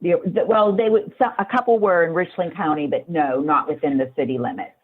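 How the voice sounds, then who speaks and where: A woman with a husky voice calls in to a public hearing with informal questions. The line is narrow and tinny, like a telephone. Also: lowish voice, fairly quick. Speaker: caller asking about funding.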